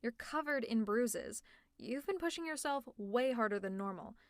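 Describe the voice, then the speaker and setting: Woman acting out a female supervillain, lightly high voice, sarcastic, teasing, exasperated yet caring, conversational, ASMR roleplay, dry close sound. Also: lively intonation, moderate pace, no room sound. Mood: teasing, concerned. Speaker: audio roleplay performer.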